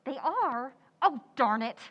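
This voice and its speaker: A woman's voice: sits high, expressive, slow, heavily acted. A woman playing a stubborn, overconfident housewife who cannot cook, in a theatrical old-time radio sitcom style. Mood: cheerful, defensive. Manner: theatrical, breezy, bluffing. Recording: echoey hall, dull muffled sound.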